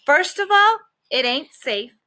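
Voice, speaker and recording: A woman's voice: high-pitched, big pitch swings, unhurried, sharp tone, heavily acted. A woman voicing a cocky, stubborn stray dog who tells his story in sassy, colloquial children's storybook style. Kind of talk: children's book read-aloud.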